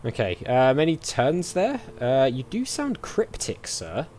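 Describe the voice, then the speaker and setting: A man's voice: medium pitch, wildly swooping pitch, unhurried, darkish tone, a little hiss or hum. A chatty man giving casual gameplay commentary as host, with game ambience behind him. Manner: chatty, reads game dialogue aloud. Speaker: gaming video host. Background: faint game ambience.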